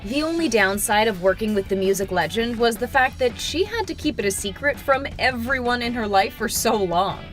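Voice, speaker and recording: A woman's voice: fairly high pitch, expressive, moderate pace, hardly any pauses, faint music behind. A woman reading scripted narration for an entertainment countdown video.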